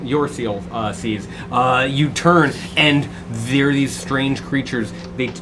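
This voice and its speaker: Dude game-mastering, lots of uh and um, kinda noisy recording. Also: male voice, medium pitch. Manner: halting, with many fillers. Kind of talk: narrating a tabletop game. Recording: background noise.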